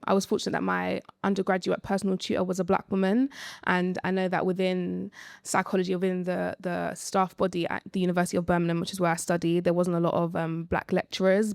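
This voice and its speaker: A young woman speaking informally and reflectively as a panellist, pausing hesitantly as she shares her experience. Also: fairly low pitch, creaky, a little mellow, dry recording, clean studio sound. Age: young adult. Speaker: panellist, early-career researcher. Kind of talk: live panel discussion, personal account.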